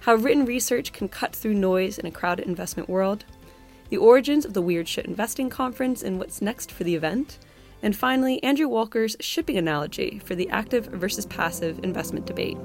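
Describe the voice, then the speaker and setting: A woman's voice: medium pitch, expressive, a little fast, darkish tone, faint music behind. A woman hosting a podcast, reading an upbeat scripted introduction to the episode.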